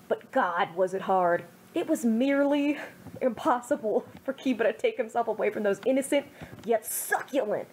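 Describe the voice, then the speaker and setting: A woman's voice: on the high side, a little mellow, rough recording. Young woman reading fan fiction aloud. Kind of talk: amateur audiobook reading.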